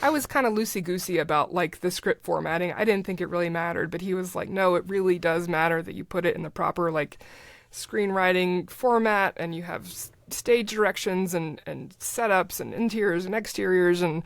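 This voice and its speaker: A woman's voice: fairly low pitch, brisk, no room sound. A middle-aged woman talking casually as a podcast guest, explaining things in a conversational way. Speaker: podcast guest, writer and producer.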